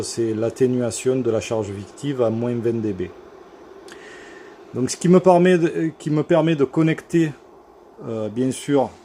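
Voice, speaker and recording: Male technician, informal workshop demo, mellow medium-pitched voice, hesitant and halting delivery, noisy room tone. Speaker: radio repair technician presenting his work. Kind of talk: informal equipment demonstration. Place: electronics workshop.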